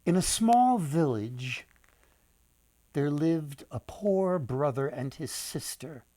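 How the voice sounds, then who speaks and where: Older man, children's storyteller, unhurried pace, theatrical pauses, deliberate delivery, dry sound. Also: on the high side, dark tone, no room sound. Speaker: author and storyteller. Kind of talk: storytelling read-aloud for children.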